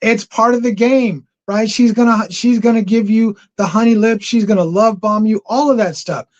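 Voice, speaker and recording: A man hosting a casual livestream and taking callers, animated, often repeating himself for emphasis. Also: high-pitched, moderate pace. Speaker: livestream host. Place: home. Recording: dull lo-fi stream audio.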